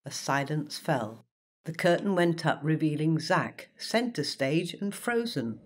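A woman, a children's author, narrating a bedtime story in a gentle, expressive way. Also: deep voice, takes it slowly, slight background noise. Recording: loud background music. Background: music.

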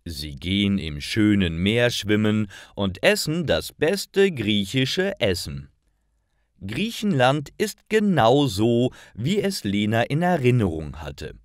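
A man reading scripted stories for language learners with careful, deliberate enunciation. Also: lowish voice, very animated, no room sound, clean studio sound. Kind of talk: scripted story narration for learners.

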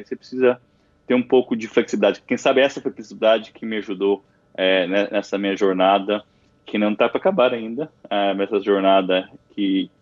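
A man with a Brazilian Portuguese accent, speaking as a guest in a relaxed interview. His voice sits somewhat low, and he rambles with many restarts and fillers. The recording is dry and a little dull, like a lo-fi line. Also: dry recording. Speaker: interview guest, university alumnus. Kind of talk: casual interview chat.

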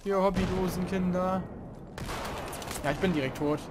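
A young man hosting a casual let's play, chatting and grumbling loudly about teammates as he plays. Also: high voice, fairly even intonation, normal speed, background noise. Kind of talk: casual gaming commentary.

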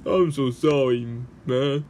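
A gruff, brooding bomb-headed creature acted out by a man in an amateur comic dub. He speaks slowly and bluntly, and his pitch drops below the performer's usual. There is some noise in the recording. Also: steady tone, darkish tone, close to an everyday voice. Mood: sullen, remorseful. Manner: blunt, says "man" often.

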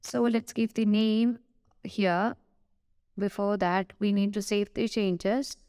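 A woman with an Indian English accent giving a screen-recorded software tutorial, hesitant, with many "uh" fillers and self-corrections. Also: mid-range voice, fairly even intonation, takes it slowly, clean tone, no room sound, clean studio sound.

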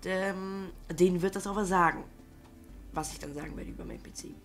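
A man's voice: high voice, steady tone, a little fast, faint music behind. A young man with a cold, rambling in casual let's-play commentary with many fillers and restarts. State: has a cold. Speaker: gaming YouTuber.

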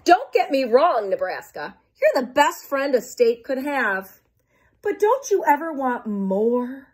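A woman's voice: high-pitched, big pitch swings, slow pace, pitched above the performer's own voice, full character voice. A woman doing Kansas, a bored, moaning state. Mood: eager. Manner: moaning, complaining.

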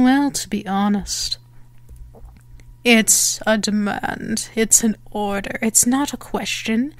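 Actress as female vampire for ASMR roleplay: higher-pitched, unhurried, haughty and menacing, very dry close recording. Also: a little mellow, dry recording. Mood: possessive, threatening. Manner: teasing, theatrical, deliberate phrasing.

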